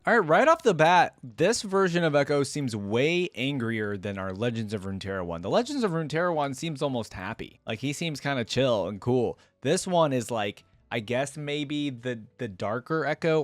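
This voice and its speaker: A man's voice: medium pitch, very animated, moderate pace, hardly any pauses, no echo. A man giving casual reaction commentary, informal and musing as he thinks aloud. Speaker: reaction video host.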